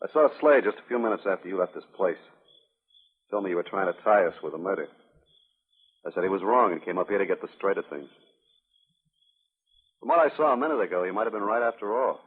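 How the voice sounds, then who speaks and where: An actor playing a hard, menacing rancher in a 1950s radio western, speaking in deliberate threats. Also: male voice, low voice, animated, husky. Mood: taunting. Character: hard, menacing rancher guarding his friend's claim. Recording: muffled, band-limited old radio broadcast.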